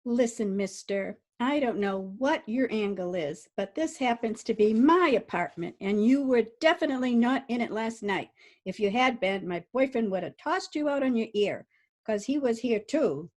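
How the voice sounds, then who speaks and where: Woman acting as young dame, fairly high, brassy, combative, slangy, radio detective drama. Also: expressive, moderate pace, darkish tone. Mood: indignant. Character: tough, brassy, indignant young city dame.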